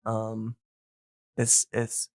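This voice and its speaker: A man talking casually and enthusiastically as a podcast guest, explaining things in a rambling, halting way. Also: lowish voice, monotone, darkish tone, dry recording. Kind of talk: casual, enthusiastic info-dumping podcast chat.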